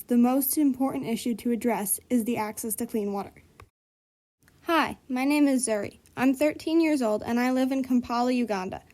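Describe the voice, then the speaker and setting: A woman's voice: on the high side, dark tone. A woman reading a scripted school report aloud with a careful, earnest delivery, speaking in the first person as a character. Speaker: student presenter.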